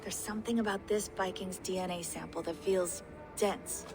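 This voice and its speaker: An actress playing a practical, caring technician in a video game, brisk, informal and matter-of-fact. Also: female voice, lowish voice, fairly even intonation, music in the background, lightly acted. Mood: cautious, concerned. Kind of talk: naturalistic video game acting. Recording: faint background noise and ambience.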